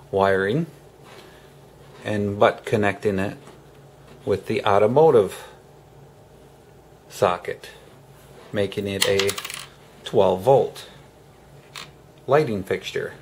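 A low-voiced man walks through a hands-on job in a casual, unhurried way. His delivery is halting and broken into short phrases, with a lot of creak in the voice. Some steady room noise sits behind him. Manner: halting, pausing mid-sentence in short phrases. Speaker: homesteader host of a DIY tutorial. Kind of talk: casual hands-on how-to explanation.

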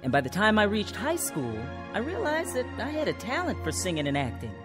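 An earnest woman in a first-person radio drama. Her mid-range voice moves expressively, with music clearly behind it. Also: medium pitch, wildly swooping pitch, a little fast. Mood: reflective. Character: earnest woman recalling her troubled youth.

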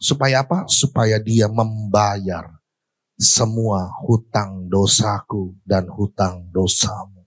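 A middle-aged male pastor preaching a sermon on a church stage into a handheld microphone, asking the congregation questions and swinging between emphatic high lines and slow, low ones. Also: steady tone, breathy edge.

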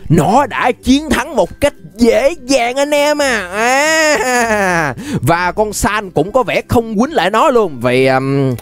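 A young man with a high voice and a southern Vietnamese accent commentates on a video game. He is animated and exclamatory, often doubling up his phrases. He speaks close to the mic, with music playing behind him. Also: slow, few pauses. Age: young adult. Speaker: gaming YouTuber, host. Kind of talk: excited gameplay commentary. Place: indoors at a gaming setup. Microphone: desk microphone close to the face.

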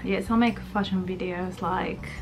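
A woman's voice: on the low side, fairly even intonation, measured pace, over music. Chatty thirty-year-old woman vlogging from her bedroom. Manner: rambling, chatty, many fillers. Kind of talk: casual chat to camera. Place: bedroom, indoors. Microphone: camera at arm's length.

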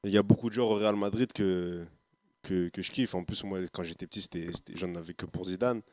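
Low-voiced hesitant young man. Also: fairly quick, a little mellow, dry recording. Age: 20s. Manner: hesitant, repeats words when thinking. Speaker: footballer guest. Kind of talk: casual chat. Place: studio set with sofas.

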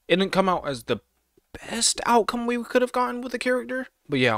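A man's voice: medium pitch, fairly bright tone, no echo. A young man giving casual, slangy, exclamatory reaction commentary as host of a video, close to the mic. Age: young adult. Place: small home room. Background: game trailer music.

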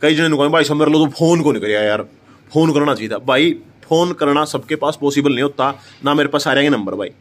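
A man's voice: on the high side, quick pace. A young man with a Haryanvi accent talks emphatically and casually to the camera as a vlogger, addressing his listeners like brothers. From Haryana, India. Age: young adult. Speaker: vlogger, host. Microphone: phone at arm's length.

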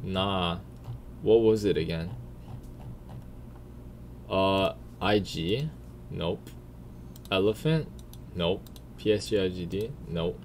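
Low-voiced man, blunt casual gaming commentary. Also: takes it slowly, long pauses. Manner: blunt, informal, swears casually. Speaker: gaming video creator and commentator. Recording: faint background noise, keyboard typing. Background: computer keyboard typing and clicks.

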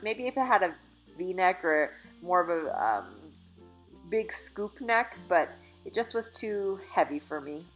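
A woman's voice: mid-range voice, a bit bright. A woman in her thirties chatting through a clothing try-on haul in a store dressing room, upbeat and casual with fillers and self-corrections. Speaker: fashion vlogger. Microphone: phone camera held in mirror.

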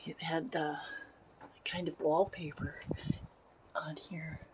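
A woman exploring as a vlogger, giving casual, unhurried walkthrough commentary and musing aloud into a handheld camera. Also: deep voice, little pitch movement, noisy background. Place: in and around derelict wooden buildings. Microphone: handheld camera or phone, operator behind it.

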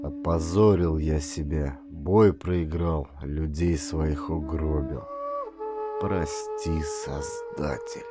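A man voicing a wounded, remorseful Cossack warrior in an audiobook, speaking weakly in slow, halting, subdued phrases. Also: extremely low pitch, music in the background, voice pushed down. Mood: weary remorse. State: weak, as if badly hurt.

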